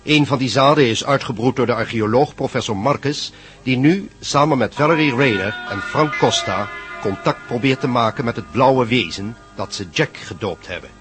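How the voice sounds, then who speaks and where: A man narrates a radio serial in a scripted storytelling style. His voice is of average pitch. Music plays clearly behind him. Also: moderate pace. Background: loud music.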